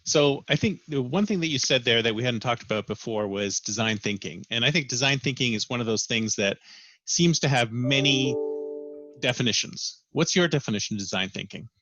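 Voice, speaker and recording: A man hosting a podcast interview, talking in a relaxed, affirming way. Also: medium pitch, fairly quick, muffled recording, soft background music. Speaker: podcast host. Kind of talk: casual interview.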